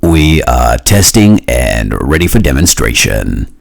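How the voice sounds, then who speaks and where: A man voicing a stern armoured sci-fi police soldier for a fan tutorial, in a measured, clipped official announcement. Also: extremely low pitch, sing-song, gravelly, dry recording, studio quality, voice pushed down, heavily acted.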